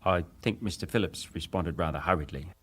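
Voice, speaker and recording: Low-voiced wireless operator. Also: male voice, brisk. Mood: respectful, matter-of-fact. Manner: clipped, respectful, matter-of-fact. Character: young British ship's wireless operator, respectful but defensive witness. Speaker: witness testifying. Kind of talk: dramatised reading of hearing testimony.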